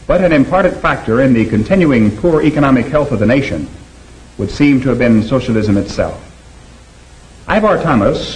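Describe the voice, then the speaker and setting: A man reads 1950s documentary narration at a middle pitch. His delivery is steady and grave, and he explains each point plainly. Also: low-quality recording.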